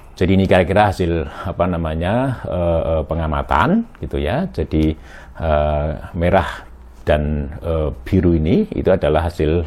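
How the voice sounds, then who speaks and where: A middle-aged man giving a recorded university lecture, halting and full of fillers and tag questions. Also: deep voice, darkish tone. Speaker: astrophysics lecturer.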